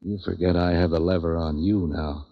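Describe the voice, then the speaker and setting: A hard-boiled man for hire out of an old radio noir. His voice sits very low and he talks in clipped, deadpan wisecracks, street-wise and tough. The recording is dull 1940s lo-fi. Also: moderate pace. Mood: cool, needling. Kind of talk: 1940s radio noir first-person narration and dialogue.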